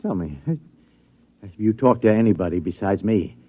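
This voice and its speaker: An actor playing a flustered security chief who stammers and repeats himself, in a 1950s radio drama. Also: male voice, lowish voice, expressive, measured pace. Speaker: security chief at an atomic laboratory. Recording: dull old radio recording.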